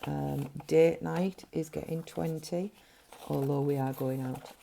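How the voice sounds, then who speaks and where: A middle-aged woman with a gruff voice, chatting casually as a budgeting vlogger while counting money at a table at home. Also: sits low, fairly even intonation, slow, dark tone, slight background noise. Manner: chatty, counting notes under her breath. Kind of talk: casual cash-stuffing vlog narration. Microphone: overhead camera framing hands.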